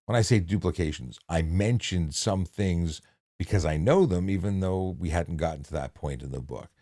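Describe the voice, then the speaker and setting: A man reading instructional material in a measured, deliberate way, with casual off-the-cuff asides. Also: sits low, mellow, dry recording, studio quality. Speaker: narrator reading a handbook with commentary.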